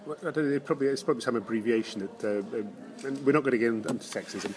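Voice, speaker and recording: A man's voice: medium pitch, very fast, darkish tone. A man hosting a podcast in a charity shop, dry, teasing and pedantic, recording on a phone. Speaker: podcast host. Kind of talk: bickering casual podcast chat.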